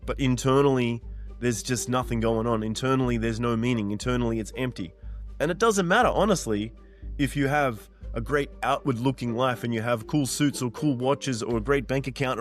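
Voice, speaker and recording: A man in his forties talking earnestly and directly to the listener as a mindset coach, pressing points through repetition. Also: mid-range voice. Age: 40s. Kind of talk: motivational monologue.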